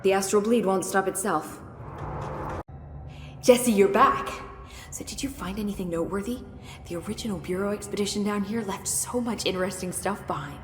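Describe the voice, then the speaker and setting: An actress playing a witty, curious young research scientist in a video game, explaining things in a precise, wry, chatty way. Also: female voice, medium pitch, normal speed, faint music behind, lightly acted. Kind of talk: video game dialogue acting. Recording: echoey hall reverb with noisy game ambience.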